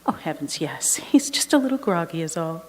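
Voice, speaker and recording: A woman playing a guarded, loyal dental receptionist in an audio drama, husky, polite and officious. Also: deep voice, big pitch swings, normal speed, a little mellow. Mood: guarded, firm. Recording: reverberant hall.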